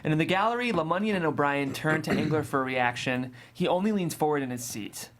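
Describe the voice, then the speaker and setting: A narrator reading scripted stage directions at a table read in a deliberate, descriptive way. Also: medium pitch.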